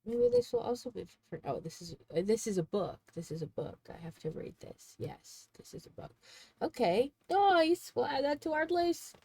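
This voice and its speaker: A woman's voice: on the high side, huge pitch swings, normal speed, vocal fry, darkish tone, husky. Congested chatty woman streamer. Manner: rambling, chatty, thinks aloud. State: congested, sneezing. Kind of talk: casual livestream chat.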